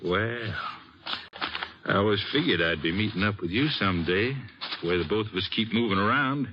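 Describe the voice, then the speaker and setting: An actor playing a folksy, sheepish middle-aged drifter in an old-time radio western, husky and hesitant in his speech. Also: fairly low pitch, expressive, normal speed, a little hiss or hum, close to an everyday voice. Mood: hesitant, eager. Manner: folksy, hesitant, rustic drawling grammar. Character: drifting cowboy father, folksy, sheepish, apologetic. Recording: dull, lo-fi old radio recording.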